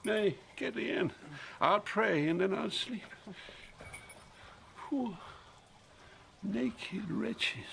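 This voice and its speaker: A man's voice: high-pitched, vocal fry, a bit bright, a little hiss or hum, exaggerated voice. An actor playing a grief-stricken old king going mad, in theatrical, declamatory Shakespearean audio drama style. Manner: theatrical, declamatory, swinging from muttering to roaring.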